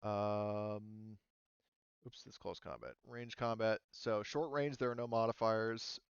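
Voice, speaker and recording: A man with a smooth, clear voice acts as game master, talking players through rules in a casual way. He often thinks aloud as he checks things. The sound is dry, close and a little dull. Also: fairly low pitch, takes it slowly, dry recording. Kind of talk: running a tabletop roleplaying game, casual explanation.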